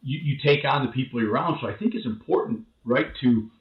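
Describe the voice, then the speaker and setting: A man's voice: mid-range voice, fast talker. A man from the northern Midwest with a Midwestern accent, a show guest talking casually, often stopping and repeating words. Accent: Midwestern American. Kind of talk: casual conversation. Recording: dull roomy call audio.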